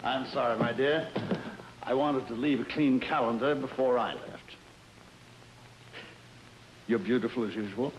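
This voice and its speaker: Formal male judge, acted by a man for suspense drama; mid-range voice, precise, earnest, measured. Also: mid-range voice, a little hiss or hum, lightly acted, poor audio. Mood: composed. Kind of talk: dramatic acting in an old-style suspense drama.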